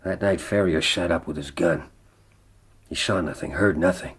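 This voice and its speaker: Husky, low-voiced frontier man. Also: moderate pace, heavily acted. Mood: brooding. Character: rugged frontier man in love, narrating his ordeal, defiant. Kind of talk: radio drama acting and narration.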